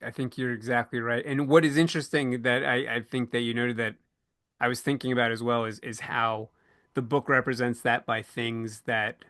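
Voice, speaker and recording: Man, podcast moderator, earnest, halting rephrasing, medium pitch, dry sound. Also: fairly even intonation, a bit bright, no echo. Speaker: podcast co-host, panel moderator. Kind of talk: panel discussion, conversational.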